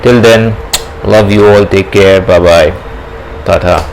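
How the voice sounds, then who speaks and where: A man in his thirties with an Indian accent, casually demonstrating a product to viewers with halting explanations. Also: low voice, steady tone. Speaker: vlog host. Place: small indoor room at home. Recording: clearly noisy room ambience.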